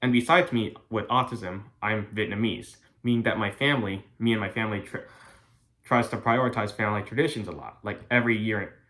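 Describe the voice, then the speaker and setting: A young American man gives a prepared speech to his class. His voice sits a little low, and he talks in an earnest, careful way, often halting and restarting mid-sentence. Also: a bit bright. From United States. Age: young adult. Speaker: college student.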